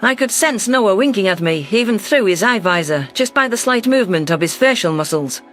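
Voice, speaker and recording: A man's voice: sits high, expressive, fairly quick, a bit bright, faint music behind. A man reading a scripted first-person science fiction story as its narrator.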